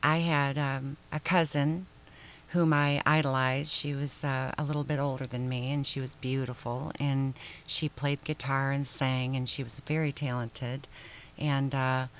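An older woman from Michigan, a singer-songwriter guest, answering questions hesitantly with many pauses and small laughs. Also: very deep voice, level tone, slight background noise. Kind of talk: casual interview chat.

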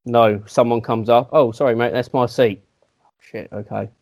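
A man's voice: mid-range voice, steady tone, moderate pace, a little mellow. Rambling man telling stories. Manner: rambling, self-mocking storyteller with restarts. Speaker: podcast co-host. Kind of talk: casual chat, anecdote telling.

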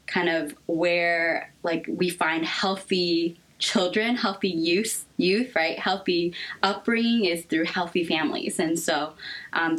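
A young adult American woman talking candidly as an interview guest, thoughtful and halting in her delivery. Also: fairly low pitch, a bit bright, poor audio. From United States, raised in Seattle.

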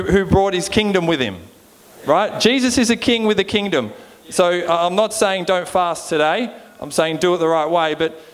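An Australian man preaching an informal sermon in a conversational way, often checking in with his listeners for agreement. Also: high-pitched. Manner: conversational, seeks agreement with frequent rhetorical check-ins. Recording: long hall reverb.

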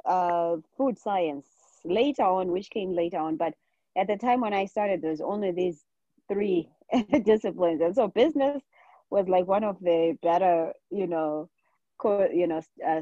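Zimbabwean woman chatting as a podcast guest, warm dark low voice, kinda muffled dry audio. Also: measured pace, no room sound. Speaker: podcast guest, nurse practitioner. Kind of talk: casual interview chat.

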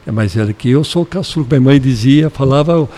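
A man's voice: mid-range voice, quick pace, dark tone. A man in his fifties, a pastor with a São Paulo accent, chatting casually as a podcast guest and telling stories with easy humor. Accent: São Paulo Portuguese. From born in Porto Alegre, raised in São Paulo. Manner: affable, joking storyteller.

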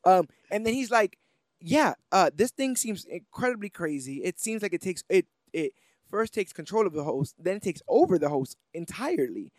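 A man's voice: sits high, big pitch swings, normal speed, no echo. A man co-hosting a podcast, casually retelling things in a conversational way with loose paraphrases. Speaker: podcast co-host. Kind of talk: casual chat, cartoon recap.

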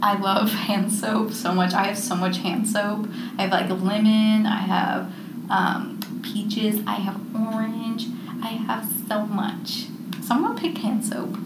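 A woman's voice: medium pitch, steady tone, measured pace. A young woman co-hosting a podcast in casual chat, rambling with lots of fillers. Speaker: podcast co-host. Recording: roomy echo with background noise.

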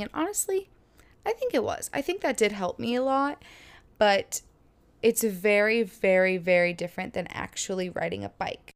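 A woman in her twenties from Florida, telling a casual story in a chatty, lively way with many fillers and laughs. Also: mid-range voice, clear, resonant tone. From Florida, USA. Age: 20s. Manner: chatty, animated, lots of "like" and laughs. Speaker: vlogger.

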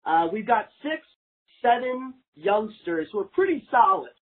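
A man's voice: high-pitched, big pitch swings, unhurried, breathy edge. A young man asking questions in a casual sports chat as a podcast interviewer. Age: young adult. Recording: tinny, narrow phone-like sound.

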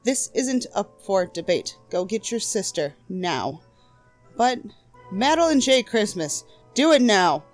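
A woman's voice: medium pitch, big pitch swings, slow pace, faint music behind. A woman voicing a stern mother who scolds her daughters in a firm, raised voice. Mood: scolding.

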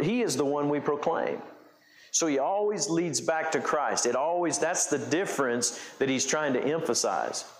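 A male pastor preaching a conversational sermon, explaining scripture with frequent restarts and fillers. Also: medium pitch. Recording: echoey room.

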